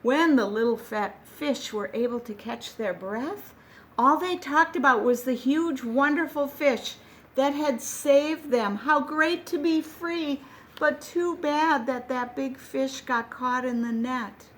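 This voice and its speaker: A woman reading a children's picture book aloud, gentle and expressive, with dramatic pauses. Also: high-pitched.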